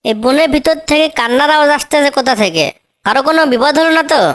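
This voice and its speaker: Little tailorbird heroine female actor, cartoon fable: kind, determined, eager, high-pitched voice. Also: fast talker, close to an everyday voice. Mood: curious.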